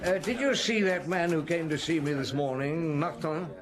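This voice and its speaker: An actor playing a middle-aged chief inspector in an audio drama, gruff and wry, with muttered hesitations and terse orders. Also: male voice, on the high side, moderate pace, over a noisy crowd, natural voice. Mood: brisk, musing.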